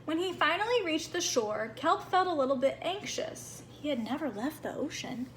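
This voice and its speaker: High-voiced woman reading a children's storybook. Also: normal speed, slight background noise, poor audio. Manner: animated, acts out small moments. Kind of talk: expressive children's read-aloud.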